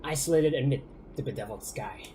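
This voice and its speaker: A man voicing a grandiose, vengeful spirit that guards a ruined bunker, reading its lines in a slow, theatrical, declamatory way. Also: mid-range voice, expressive, warm tone, slight background noise, natural voice.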